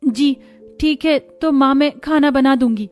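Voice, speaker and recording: A woman's voice: sits high, measured pace, a little mellow, faint music behind, pitched above the performer's own voice. A woman voicing a meek, hurt young daughter-in-law from a poor home, timid and pleading, in a cartoon story. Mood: timid, anxious. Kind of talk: cartoon moral story acting.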